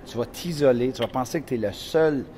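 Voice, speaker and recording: A man with a Quebec French accent tells stories in a relaxed chat. He talks in a middle pitch and brings the scenes to life with lively voices and quotes. A faint hiss of noise sits behind him. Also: brisk. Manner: animated, acts out scenes and quotes. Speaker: motivational speaker, guest. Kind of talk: casual storytelling chat.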